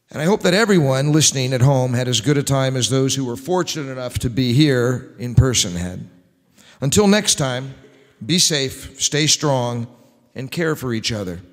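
A middle-aged American man hosting a show with formal, showman-like, scripted old-time radio announcing. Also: mid-range voice. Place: large church hall, on stage before a live audience. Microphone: microphone on a stand. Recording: long hall reverb.

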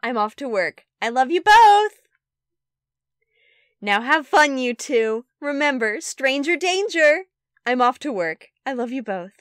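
A woman's voice: sits high, big pitch swings, a little slow, clear, resonant tone, no echo, clean studio sound. A woman voicing an upbeat, affectionate, reassuring mother in an animation audition. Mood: cheerful, reassuring. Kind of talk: animated character audition lines.

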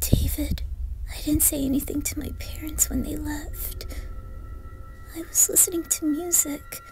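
A woman playing a terrified young woman trapped at home, intense, pleading and often hushed, in a horror story. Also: high-pitched, steady tone, unhurried, vocal fry, whispered, soft background music. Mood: whispering, terrified. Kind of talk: dramatised horror story reading.

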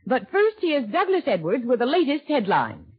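Woman, American accent, high husky voice, 1940s scripted news presenting, muffled vintage radio recording. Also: big pitch swings, normal speed.

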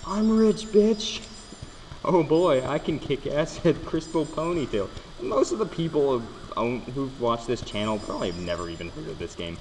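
A young man jokes his way through a game, swearing freely and tripping over restarts. His pitch swings widely as he reacts. The recording carries a long, roomy reverb. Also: expressive, moderate pace, a little mellow, slight background noise. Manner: joking, swearing, stammering restarts. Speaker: let's play host. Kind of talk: casual gameplay commentary. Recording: echoey room with long reverb.

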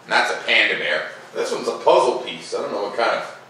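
A middle-aged man hosting a children's read-aloud, mixing expressive picture-book reading with informal, casual asides. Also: on the low side, a little fast. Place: living room by a fireplace, indoors. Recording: echoey room, distant mic, faint noise.

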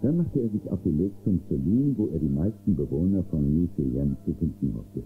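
A space-western storyteller has a low voice with animated swings. He narrates a scripted radio play over music, on a thin muffled tape. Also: normal speed, full character voice. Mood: storytelling.

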